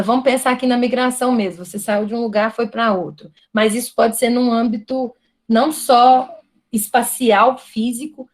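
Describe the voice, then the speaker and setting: A woman's voice: on the high side, darkish tone. A Brazilian woman giving an online university lecture, talking thoughtfully with frequent tag questions and restarts. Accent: Brazilian Portuguese. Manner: thoughtful, many "né?" tags and restarts. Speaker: guest lecturer.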